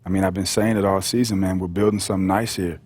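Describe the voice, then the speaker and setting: A man's voice: low-pitched, steady tone, fast talker, darkish tone. A man playing an easygoing young pro basketball player in casual video game cutscene dialogue. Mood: earnest. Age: young adult.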